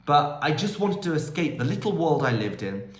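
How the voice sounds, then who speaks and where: A man's voice: fairly high pitch, sing-song, moderate pace. A man in his thirties talking about learning languages in a casual, enthusiastic Q&A, wandering off on tangents. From United Kingdom. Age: 30s. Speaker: language school owner and polyglot vlogger. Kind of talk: casual Q&A monologue. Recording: echoey room.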